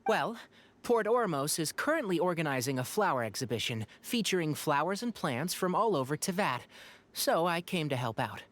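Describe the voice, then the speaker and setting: A man voicing a calm, knowledgeable young male ranger in a video game, explaining things in a measured way. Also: fairly high pitch.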